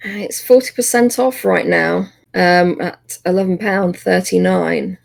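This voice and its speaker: A woman's voice: on the low side, fairly even intonation, a little slow, gravelly. A woman with a British accent co-hosting a podcast, chatting casually and appreciatively about video games. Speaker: podcast co-host. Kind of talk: casual video game discussion.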